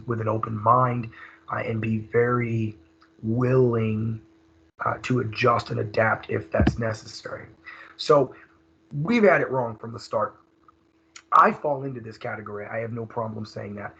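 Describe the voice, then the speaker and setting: A hoarse man, leading a recorded teacher training seminar in a conversational way, with many fillers and restarts. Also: mid-range voice, fairly bright tone. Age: 30s. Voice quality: raspy. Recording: dull lo-fi screen recording.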